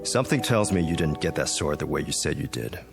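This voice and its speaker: Kalın sesli, dalgın, gergin genç adam. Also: male voice, very deep voice, expressive, a little fast, creaky, over music, exaggerated voice. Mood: accusing. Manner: hesitant, brooding, intense. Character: troubled young Japanese American man, quiet, accusing, quick-tempered. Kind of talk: radio drama acting.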